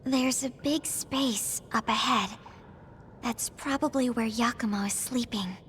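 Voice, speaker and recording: An actress playing a spirited, earnest young heroine in a video game, with an expressive, concerned manner. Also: female voice, fairly high pitch, unhurried, slight background noise. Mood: earnest curiosity.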